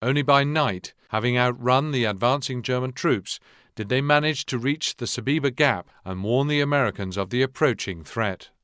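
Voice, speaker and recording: A man plays a grave documentary-style narrator for a war video game. His voice is smooth and resonant at a middling pitch, formal and measured, recorded very dry. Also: fairly bright tone, no echo, close to an everyday voice. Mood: sober, grave. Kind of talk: scripted historical war narration.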